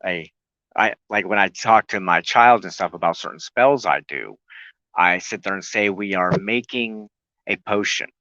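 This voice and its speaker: A man giving an earnest, unscripted spoken teaching as a broadcast host, reflective and halting, often pausing in the middle of a sentence. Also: sits low, pushed and bright, dry recording, highs cut off.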